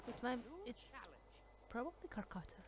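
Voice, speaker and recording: A woman doing casual gameplay commentary, reacting in short, halting murmurs as she reads along. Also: fairly high pitch, animated, heavy background noise. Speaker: game streamer. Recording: loud game music behind voice.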